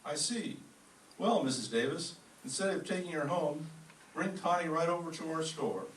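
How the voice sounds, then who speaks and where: Man playing brisk store owner, echoey and distant. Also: on the high side, expressive, a bit bright. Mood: eager, friendly. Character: brisk, courteous department store owner, Mister Bush. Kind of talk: old-time radio comedy acting. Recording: echoey room, distant mic, faint noise.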